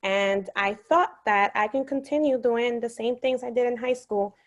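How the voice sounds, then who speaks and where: A woman's voice: on the high side, fairly even intonation, moderate pace. A woman from the Dominican Republic telling her story as a graduate speaker over a video call, casual and hesitant, searching for words. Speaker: graduate speaker on a college panel.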